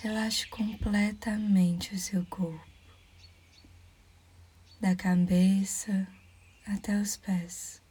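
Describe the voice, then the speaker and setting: A Brazilian woman leading a guided meditation in a soft, breathy near-whisper, calm and soothing, leaving measured pauses. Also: mid-range voice.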